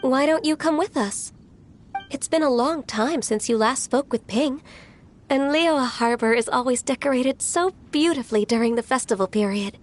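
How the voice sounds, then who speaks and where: A polite young woman played by an actress speaks sincerely and reflectively in a fairly high voice. She has the measured, earnest delivery of a fantasy game character, over faint background noise. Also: natural voice. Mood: gently persuasive. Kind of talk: video game voice acting.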